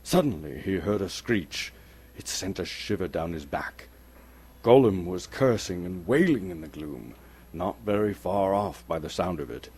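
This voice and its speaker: A man from Canada narrates a story with theatrical suspense. His voice is low and mellow, with animated rises and falls as he lingers on key words. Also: measured pace, breathy edge. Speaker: actor reading as narrator. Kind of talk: dramatic scripted storybook narration.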